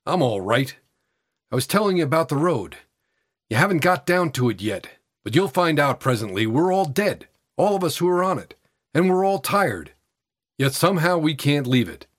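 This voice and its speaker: A man voicing a frail eighteen-year-old tramp boy, cocky, weary and defiant, with husky, breathless, colloquial working-class speech. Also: on the high side, sing-song, moderate pace, dry recording, studio quality. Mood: fierce, defiant.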